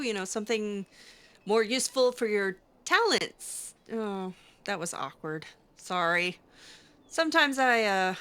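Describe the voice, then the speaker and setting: A woman doing casual in-character roleplay over a video game. Her mid-range voice is bright and swings expressively, with halting, improvised reactions. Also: medium pitch. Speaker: gamer playing in character.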